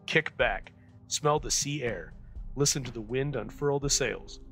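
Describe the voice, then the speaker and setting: Male host for vintage radio introductions, scripted narration, forceful bright tone, soft music underneath. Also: medium pitch, unhurried. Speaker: host of old-time radio show presentations.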